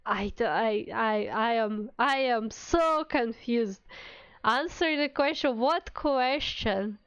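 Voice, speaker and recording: A woman's voice: fairly high pitch, slow, fairly bright tone, smooth, dry recording, dull audio. A woman livestreamer chatting casually with viewers in a playful, mock-indignant, giggly manner. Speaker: streamer, VTuber.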